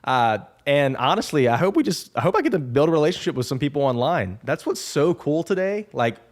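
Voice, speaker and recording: A man's voice: a little high, very animated, a little fast. A man in his twenties hosting a casual podcast intro, rambling and upbeat with lots of restarts. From Alabama. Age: 20s. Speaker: podcast host.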